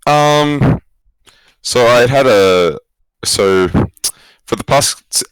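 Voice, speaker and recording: A man's voice: medium pitch, lively intonation, very slow, clear, resonant tone, dry recording, rough recording. A young man with an Australian accent, answering interview questions casually and reflectively, rephrasing as he goes. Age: young adult. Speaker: esports coach, former pro player, guest.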